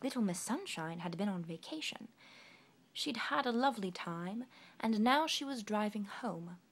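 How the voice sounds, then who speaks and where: A woman narrating a children's storybook expressively, in a hushed, deliberate storytelling manner. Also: medium pitch, animated. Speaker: storybook reader.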